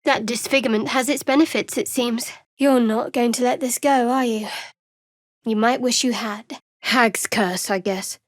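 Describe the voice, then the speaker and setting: A woman voicing a guarded, wry young female cleric of a dark goddess in a video game, speaking in a measured, dry way on a close mic. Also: fairly high pitch, steady tone, no room sound, close to an everyday voice. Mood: dry, sardonic. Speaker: fantasy adventurer companion. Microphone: close, dry studio mic.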